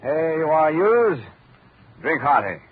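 A man's voice: on the high side, very animated, slow. A man acting a bitter, vengeful dying scientist in an old-time radio drama, with a theatrical, gloating manner. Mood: menacing. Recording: tinny, hissy old radio recording.

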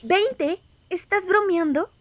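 Woman voicing a high-pitched, stubborn young fox huntress. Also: slow pace, dry recording. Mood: haggling, pleading. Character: plucky young female fox hunter, stubborn and sassy. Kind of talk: animated fan dub dialogue.

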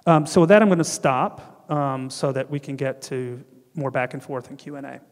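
A man's voice: mid-range voice, fairly even intonation, moderate pace, slight background noise. A middle-aged man from North Carolina giving a conference keynote with a handheld mic, earnest and restarting often. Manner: earnest, gestures broadly, frequent restarts and ums. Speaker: keynote speaker and panel guest. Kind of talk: conference keynote and fireside chat. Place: large hall on stage. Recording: hall reverb.

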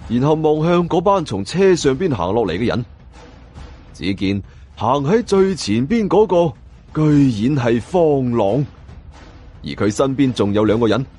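A man's voice: mid-range voice, mellow, slightly rough. A man with a Hong Kong Cantonese accent narrating a suspense novel as a dramatic audiobook storyteller.

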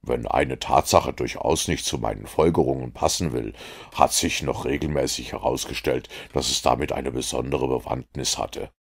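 A man voicing a calm, confident detective who explains his reasoning in a measured, lecturing way. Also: rumbling low, creaky, slightly rough, full character voice. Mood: explaining. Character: calm, confident male detective, middle-aged.

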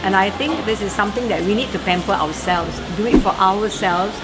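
A woman from Malaysia, a returning tour participant, speaking calmly and earnestly in an interview. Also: mid-range voice, brisk, loud music behind the voice, rough recording. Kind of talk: casual interview answer.

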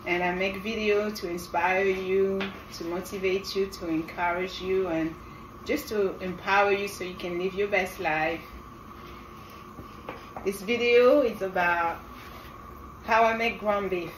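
A woman's voice: medium pitch, fairly even intonation, a little slow. A middle-aged woman from Senegal with a French West African accent, giving an encouraging, casual cooking demonstration in her home kitchen. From Senegal, grew up in the south of France. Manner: encouraging, addresses viewers affectionately. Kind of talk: casual instructional cooking vlog. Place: small home kitchen, indoors. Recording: loud sizzling and stirring kitchen noise. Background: frying, sizzling, stirring.

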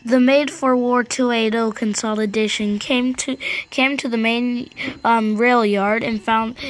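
A female storyteller with a halting, stammering delivery, improvising a tale for a train cartoon. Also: a little high. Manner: halting, stammering, repeats and restarts words. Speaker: storyteller and host of a hobby cartoon series.

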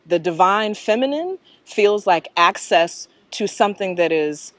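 A middle-aged American woman answering interview questions reflectively, in a thoughtful, halting manner that often checks in with the listener. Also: mid-range voice, expressive, fairly bright tone, no room sound. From North Carolina, US. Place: outdoors in a leafy park.

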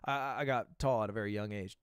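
A man's voice: on the low side, fast talker, smooth, dry recording, clean studio sound, pitched below the performer's own voice, natural voice. A man voicing a chatty twenty-five-year-old male barber making offhand, blunt small talk.

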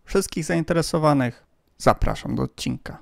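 A man's voice: a little high, normal speed, vocal fry, over music. A man who hosts an amateur radio vlog, narrating from a script.

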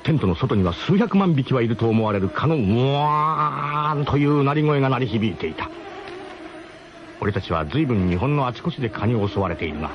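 Animated male radio narrator, muffled noisy recording. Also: medium pitch, moderate pace. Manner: mock-grandiose, emphatic. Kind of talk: dramatic comic first-person radio storytelling. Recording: muffled radio broadcast with clear background noise.

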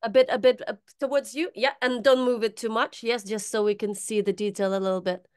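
Clear-voiced woman eagerly interviewing. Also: a little high, fairly even intonation. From southern Ukraine. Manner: eager, directing the guest quickly. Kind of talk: conversational interview.

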